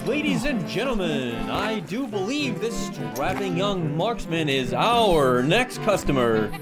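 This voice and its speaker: A flamboyant carnival barker in a fantasy audio drama. The patter is grandiose and teasing, slowly savoured with wildly swooping pitch, while music plays underneath. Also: female voice, sing-song. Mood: showy, grandiose. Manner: theatrical, grandiose showman patter.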